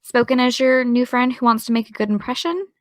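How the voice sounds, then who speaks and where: A woman playing a caring, gently teasing young woman in an audio drama, speaking in a casual, slightly tentative way. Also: on the high side, fairly quick, dry recording, lightly acted. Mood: gently candid. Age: young adult. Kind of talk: naturalistic audio drama dialogue.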